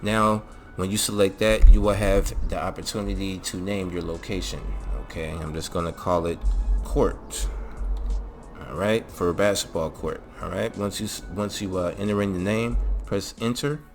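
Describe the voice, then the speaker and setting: Very deep gravelly man explaining a mod. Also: fairly even intonation, moderate pace, soft background music. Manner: casual, many fillers, repeats "all right". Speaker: gaming channel host. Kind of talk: mod tutorial voice-over.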